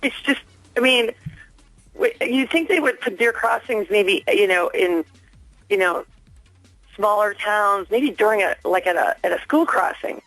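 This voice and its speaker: A woman's voice: medium pitch, measured pace, a bit bright, dry recording, soft background music. A woman phoning a radio station as a listener, making an earnest, insistent complaint in a hesitant, spontaneous way. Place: phone call into a radio station. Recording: dry telephone line to radio studio.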